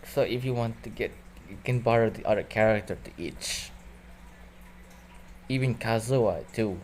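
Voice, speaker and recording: A man giving casual gameplay commentary as a video maker, in halting, loosely phrased speech. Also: lowish voice, slight background noise. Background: loud game music.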